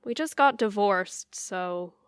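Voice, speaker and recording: A woman's voice: medium pitch, lively intonation, slow pace, smooth, dry recording, clean studio sound. A woman playing a touchy, sardonic young adult daughter with a pointed, exasperated manner in an audio theatre comedy-drama. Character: touchy, sardonic grown daughter, freshly divorced.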